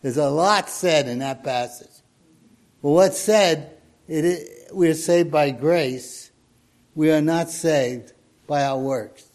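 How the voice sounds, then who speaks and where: A man in his eighties preaching a sermon, reading scripture in a slow, measured way. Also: a little high, darkish tone. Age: 80s.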